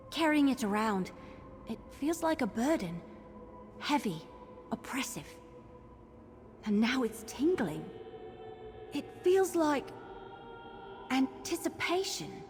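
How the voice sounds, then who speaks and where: A woman's voice: high voice, full character voice. A woman voicing an earnest, eager young adventurer in a fantasy video game, speaking in a candid, informal way. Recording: music behind the voice.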